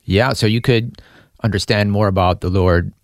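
A man's voice: on the low side, steady tone, normal speed, no room sound, studio quality. A man hosting a faith interview, affirming his guest and reflecting back on her story with frequent listening sounds. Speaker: interview host.

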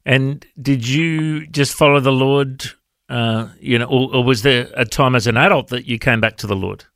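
A man's voice: mid-range voice, normal speed, fairly bright tone, no room sound. Guy hosting a radio interview, friendly questions, lots of uhs, dry sound. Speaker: radio host.

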